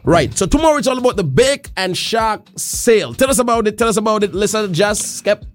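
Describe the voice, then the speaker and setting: A man hosting a casual radio interview, playful and quick to laugh, often repeating his words. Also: high-pitched, animated, faint music behind. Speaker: radio host.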